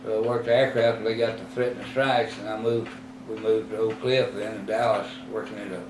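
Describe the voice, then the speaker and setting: A man in his eighties, slowly recalling his past in an interview, rambling and halting with many fillers and self-corrections. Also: lowish voice, little pitch movement. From Texas. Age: 80s. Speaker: oral history interviewee. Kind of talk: reminiscing in a recorded interview. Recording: roomy, distant mic with clear background noise.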